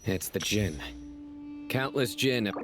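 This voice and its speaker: A serious, dutiful guardian from a game. He speaks low, with terse authority. Faint music plays behind him. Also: lively intonation, slow pace. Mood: serious, resolute. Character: stern, dutiful male desert guardian and commander. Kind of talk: game dialogue voice acting.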